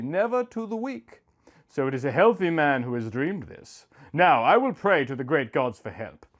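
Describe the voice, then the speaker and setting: Male narrator as loyal warrior king: solemn, fervent, earnest, a touch high, dramatic epic reading. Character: devoted warrior king, earnest friend of a sick man.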